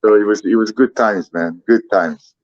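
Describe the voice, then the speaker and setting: Deep-voiced fighter in his thirties, foreign accent. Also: normal speed, dry recording, lo-fi sound. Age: 30s. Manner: laid-back, swears often, repeats himself. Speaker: MMA fighter, guest. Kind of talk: casual interview. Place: Ottawa.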